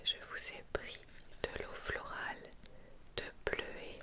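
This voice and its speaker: A woman whispering gently and soothingly as an attentive spa beautician in an ASMR roleplay. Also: slow.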